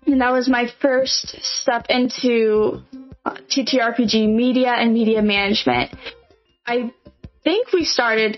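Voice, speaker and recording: A young adult woman from Nebraska, answering interview questions in a chatty way with lots of fillers and restarts. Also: on the high side. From Nebraska, USA. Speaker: podcast guest. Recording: dull lo-fi audio.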